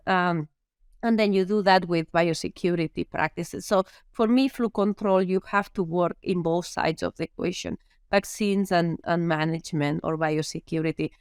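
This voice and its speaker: A woman's voice: on the low side, moderate pace, clear, resonant tone, dry recording, clean studio sound. A woman in her fifties from Spain with a Spanish accent, a veterinary professor being interviewed and talking it through thoughtfully with frequent ums. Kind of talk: podcast interview.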